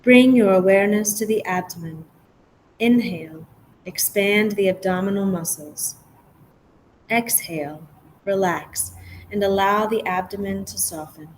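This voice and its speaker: A woman guiding a relaxation meditation as a yoga nidra teacher, giving gentle, measured instructions with pauses. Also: medium pitch, slight background noise, poor audio.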